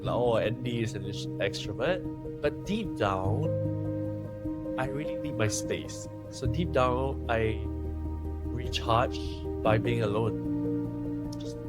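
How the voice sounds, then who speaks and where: A young man from Singapore, talking candidly and thoughtfully as a podcast guest, often pausing and restarting. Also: on the low side. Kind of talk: candid conversational interview.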